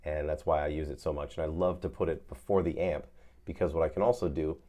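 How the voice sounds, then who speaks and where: A man's voice: very deep voice, fairly even intonation, fast talker, dark tone. A man giving an off-the-cuff, casual guitar effects tutorial, laid-back and rambling as he searches for words. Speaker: guitar effects demonstrator, host.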